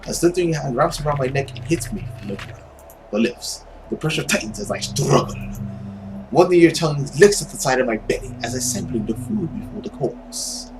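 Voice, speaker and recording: A man voicing a brooding, long-lived, loveless man, narrating a visual novel in a grave, dramatic and measured way. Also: medium pitch, animated, background noise, close to an everyday voice. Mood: dread.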